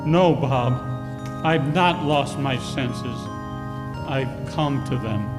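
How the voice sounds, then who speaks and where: An actor playing an old, reformed miser turned generous employer in a theatrical radio play, with drawn-out delivery. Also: male voice, medium pitch. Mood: jubilant. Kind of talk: 1940s radio play acting. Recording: echoey hall, music underneath.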